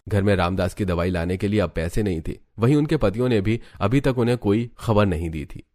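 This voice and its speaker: A man's voice: lowish voice, a little fast, a little mellow. A man reading scripted narration for a family cartoon story.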